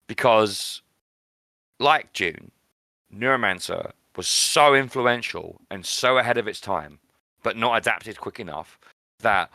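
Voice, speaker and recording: A man's voice: lowish voice, sharp tone, dry recording, clean studio sound. A man hosting a podcast in a casual film discussion, conversational and repeating phrases for emphasis. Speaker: podcast host.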